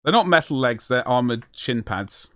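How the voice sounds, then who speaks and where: A man's voice: medium pitch, lively intonation, normal speed, a bit bright, no room sound, clean studio sound. Rambling man chatting while he paints. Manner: rambling, self-deprecating, answers chat. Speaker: miniature painting streamer. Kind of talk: casual live-stream chat while painting.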